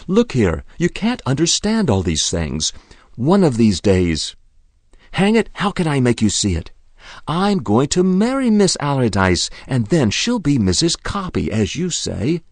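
A man's voice: mid-range voice, huge pitch swings, measured pace, dry recording, voice pushed up, full character voice. A man reading aloud who voices a good-natured young army officer, hearty and coaxing.

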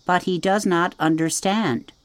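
A woman's voice: fairly low pitch, steady tone, a little slow, clear, resonant tone, dry recording, pitched above the performer's own voice, natural voice. A woman voicing a friendly, polite female robot in an audiobook, speaking formally without contractions. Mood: polite, earnest. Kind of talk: audiobook reading.

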